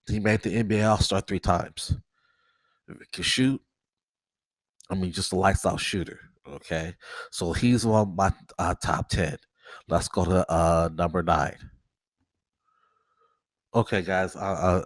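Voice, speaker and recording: A deep-voiced man hosts a casual podcast while fighting a cold, so his voice comes out nasal and stopped up. He rambles, circles back and repeats himself, talking straight to his audience. The sound is dry and close. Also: no room sound. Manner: rambling, repeats himself, talks to viewers directly. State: stuffy nose from a cold. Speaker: podcast host. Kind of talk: casual solo commentary.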